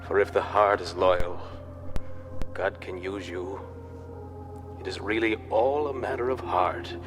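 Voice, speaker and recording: Old-time evangelist, low voice, emphatic, deliberate sermon delivery, music behind. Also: male voice, fairly even intonation. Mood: fervent, challenging. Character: Dwight Moody, fervent plain-spoken 19th-century evangelist preacher. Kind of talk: dramatised sermon.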